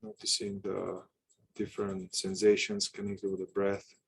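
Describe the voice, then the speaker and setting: A man guiding a meditation with calm instruction, speaking in unhurried, halting short phrases with long pauses. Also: low voice, vocal fry, husky. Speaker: meditation guide.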